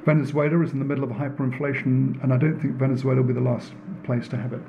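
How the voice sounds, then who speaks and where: A man giving a public lecture in an earnest, deliberate way, repeating phrases for emphasis. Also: mid-range voice, level tone, lo-fi sound, slight background noise. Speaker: speaker giving a talk, works in renewable energy. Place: indoor room in front of an audience.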